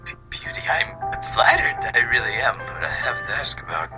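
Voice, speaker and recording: Man doing a flustered young guy, pitch swooping wildly. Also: sing-song, moderate pace, natural voice. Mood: flustered concern. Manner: stammering, exaggerated. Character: flustered, concerned young man being wooed. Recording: music behind the voice.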